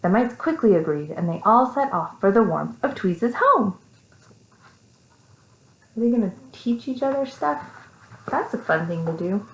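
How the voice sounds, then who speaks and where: A woman with an American accent reading a story to young children, animated, with simple casual asides. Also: medium pitch, unhurried, pauses often. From Texas, United States. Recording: echoey room.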